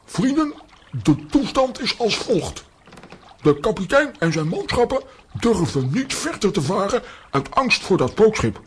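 An actor playing a bossy, self-assured comic adventurer hero, declamatory and excitable, in a children's audio play. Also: male voice, high-pitched, a little slow, slight background noise.

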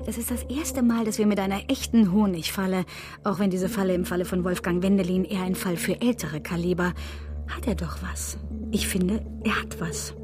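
An actress playing an eager, smitten and teasingly sharp PR agency woman in a German radio drama, with animated, emphatic delivery. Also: mid-range voice, moderate pace, close to an everyday voice. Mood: excited, admiring. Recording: music behind the voice. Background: music.

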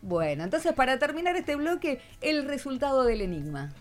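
A woman's voice: high-pitched, very animated, moderate pace, soft background music. A woman with an Argentine accent hosting a live radio interview, informal and prompting her guests. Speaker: radio host.

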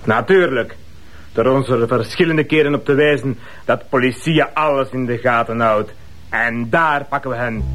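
An actor playing a fervent, suspicious Basque militant in a TV crime drama, probing and mixing in Spanish phrases. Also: male voice, medium pitch, moderate pace, fairly bright tone, close to an everyday voice.

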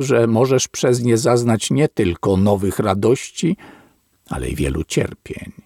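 Slow gravelly man reading solemnly. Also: lowish voice, darkish tone, no room sound, studio quality. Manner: grave, measured, archaic phrasing with long pauses. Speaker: reader of a literary epilogue.

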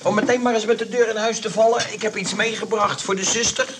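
A smooth talking young con man in an old radio comedy, highish voice, folksy and hesitant, lots of hiss. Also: steady tone, fast. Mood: chummy, breezy. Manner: chummy, folksy, hesitant sales patter. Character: smooth-talking young male swindler posing as a famous entertainer. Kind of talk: comedy radio play acting. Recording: noisy old radio play recording, clicks.

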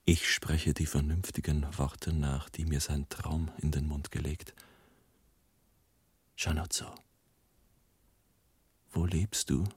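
A solemn mourner narrating in a radio drama. His voice is extremely low and very husky, often sinking into a half-whisper. He gives his account slowly, in grave, halting phrases. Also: little pitch movement, no echo, heavily acted. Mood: mournful. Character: solemn male friend mourning and recounting a death. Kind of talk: German radio play narration.

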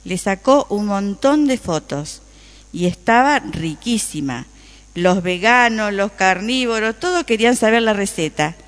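A woman's voice: mid-range voice, expressive, unhurried, natural voice. A woman playing a friendly, appreciative customer chatting with a friend in a radio play, with an Argentine Rioplatense accent. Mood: delighted. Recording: reverberant room with long echo.

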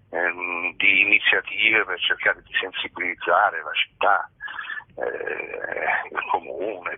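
A man being interviewed as a stage actor over a tinny phone line, speaking in hesitant, halting phrases. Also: low-pitched, breathy edge, no echo. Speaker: stage actor interviewed about his show. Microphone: telephone. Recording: tinny, narrow-band telephone line.

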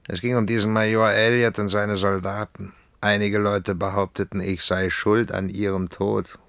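An actor playing a proud, self-justifying cavalry commander of the Old West in a German radio play, speaking defensively and rhetorically. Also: male voice, deep voice, creaky, a bit bright, dry recording, exaggerated voice.

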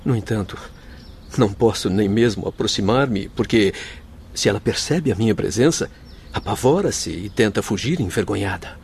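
An actor in a radio soap playing a noble, devoted doctor's spirit, speaking with formal, heartfelt solemnity. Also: male voice, on the low side, expressive, normal speed, a little mellow, a little hiss or hum. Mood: sorrowful. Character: noble grieving Spanish doctor spirit, devoted forgiving husband. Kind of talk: radio soap opera acting.

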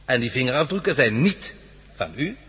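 An actor playing a smug, menacing crime villain in a radio drama, mock-polite and taunting. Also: male voice, mid-range voice, expressive, moderate pace, sharp tone, breathy edge, exaggerated voice. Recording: echoey hall reverb.

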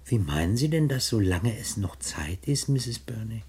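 An actor playing a suave, menacing criminal with mocking politeness and a gravelly voice in a 1960 German radio play. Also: male voice, fairly low pitch, expressive, normal speed, darkish tone, natural voice. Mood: smoothly polite. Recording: noisy old radio recording, clicks.

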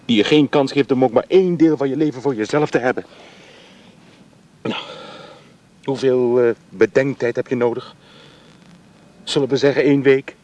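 A man's voice: medium pitch, moderate pace, pauses often, darkish tone, lightly acted. An actor playing a restless man who urges the woman he loves to leave with him, earnest and pleading. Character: Jaap, restless ardent suitor. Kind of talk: radio play acting.

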